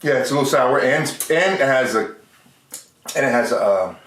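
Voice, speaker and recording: An American man hosting a casual chat show, jokey and rambling, with halting restarts. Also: mid-range voice, animated, fairly bright tone. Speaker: podcast host. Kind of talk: casual beer review chat. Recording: roomy, distant mic, faint noise.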